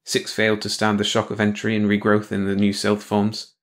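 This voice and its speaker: A man's voice: on the low side, monotone, fairly quick. A man reading a scripted science fiction story as an audiobook narrator, in bedtime read-along style.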